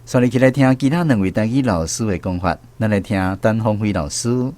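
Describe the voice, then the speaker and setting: A man's voice: fairly low pitch, brisk, darkish tone. A man with a Taiwanese accent hosting a radio language quiz, speaking to listeners and students.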